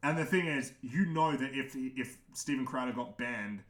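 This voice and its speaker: A young man with a naturally deep voice rambles on a livestream, blunt and opinionated, tripping over his words and laughing now and then. His voice sits at an ordinary pitch for a man. The room around him echoes noticeably. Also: normal speed, fairly bright tone. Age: young adult. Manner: opinionated, frank, swears, frequent restarts; laughs often. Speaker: livestream host answering chat.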